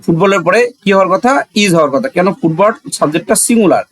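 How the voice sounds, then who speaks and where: A man's voice: high voice, expressive, fast talker, dry recording. A man with a Bangladeshi accent teaching in an explanatory, didactic way, asking rhetorical questions and repeating key phrases.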